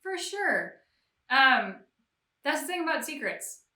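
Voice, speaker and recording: Bright, echoey woman in casual discussion. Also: high-pitched, sing-song, takes it slowly. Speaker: co-host of a reaction show. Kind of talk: casual discussion of a game story. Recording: roomy, echoey home-recorded sound.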